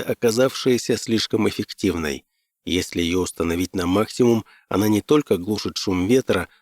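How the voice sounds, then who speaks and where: A man's voice: fairly low pitch, a little mellow, dry recording, studio quality. A man reading scripted first-person fiction as an audiobook narrator.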